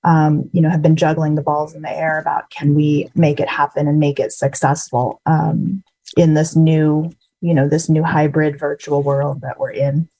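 A woman's voice: low-pitched, flat delivery, moderate pace, dark tone, rough recording. A woman on a committee panel, talking casually with lots of hesitant fillers. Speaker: committee member.

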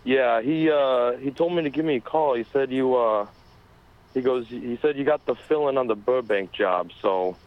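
A man voicing a shady, smooth-talking male caller who drawls and hesitates a lot. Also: mid-range voice, normal speed, natural voice. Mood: sly. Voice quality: drawling, with many filler sounds. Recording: dull lo-fi phone sound.

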